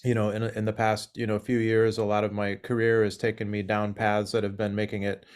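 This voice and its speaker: A man's voice: fairly low pitch, completely flat delivery, fairly quick, clean tone. A man speaking on a video call, rambling with frequent fillers. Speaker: community member.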